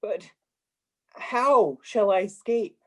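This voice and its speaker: A man's voice: sits high, slow. A man acting a grumpy, miserly old man in a radio play, blustering and grumbling. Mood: nervous, pleading.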